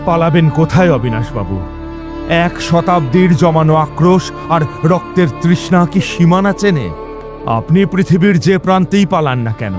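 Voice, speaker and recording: A man acts as a grave tantric priest in a horror radio play. His fairly high male voice is solemn, ominous and commanding, set over background music. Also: close to an everyday voice. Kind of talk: dramatic Bengali horror audio story.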